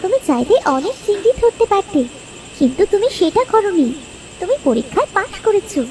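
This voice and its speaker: A woman voicing a gentle, solemn fairy who advises and admonishes in a Bangla cartoon. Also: very high-pitched, very animated, brisk, a little hiss or hum. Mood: gentle, reassuring. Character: gentle, solemn fairy granting gifts to the honest.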